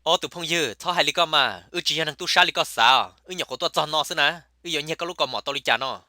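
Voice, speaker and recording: A man voicing a comic male cartoon character who chatters in a rapid, emphatic way. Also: a little high, sharp tone, dry recording, studio quality. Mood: chatty.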